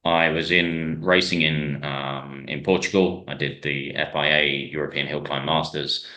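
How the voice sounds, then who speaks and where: Very deep-voiced man, bright tone. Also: fairly even intonation, normal speed. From Ireland. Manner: rambling, many ums and restarts, storytelling. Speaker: hill climb driver, guest. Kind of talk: casual interview chat.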